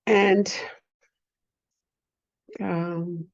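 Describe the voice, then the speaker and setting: A woman whose first language is Hindi, teaching a spiritual class at a very slow, deliberate pace. Her voice is warm and mellow. She keeps checking that the listener follows, and the recording is dry and a little dull and lo-fi. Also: fairly low pitch, level tone, no room sound. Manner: unhurried, often checks in with the listener.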